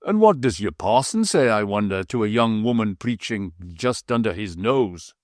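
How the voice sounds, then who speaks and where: A man voicing a genteel traveller on horseback who asks easy, gentlemanly questions. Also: on the low side, animated, moderate pace, no room sound, clean studio sound, lightly acted. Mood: curious. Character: genteel male traveller on horseback, relaxed and curious.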